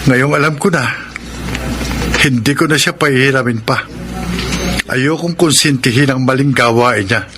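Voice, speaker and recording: A man's voice: medium pitch, normal speed, pauses often, over music, lightly acted. A man acting an earnest, apologetic husband in a Filipino radio drama, speaking a little hesitantly. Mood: remorseful. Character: Ramil, earnest apologetic husband and loyal younger brother.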